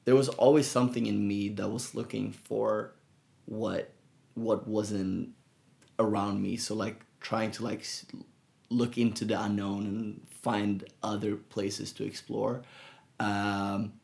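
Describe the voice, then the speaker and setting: A man from Sweden with a Swedish accent, a guest speaking in a relaxed, thoughtful way with many fillers and restarts in a casual interview. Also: fairly low pitch, takes it slowly, vocal fry. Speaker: guest photographer.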